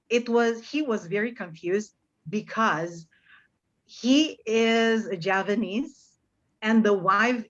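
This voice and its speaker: A woman's voice: medium pitch, fairly bright tone. A middle-aged woman from Indonesia with an American-influenced accent, an encouraging teacher giving informal, instructive advice to learners. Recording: dull, lo-fi online meeting audio.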